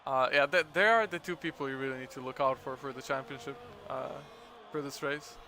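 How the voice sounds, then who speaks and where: A man's voice: mid-range voice, fairly quick, sharp tone, slight background noise. A man commentating a sim-racing livestream in casual chat, laughing often and trailing off with fillers. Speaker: race commentator.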